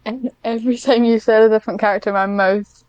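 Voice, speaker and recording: A woman's voice: on the high side, steady tone, quick pace, darkish tone, smooth, no room sound, highs cut off. A woman chatting casually on a podcast as the interviewer, informal and a little hesitant. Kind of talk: casual chat.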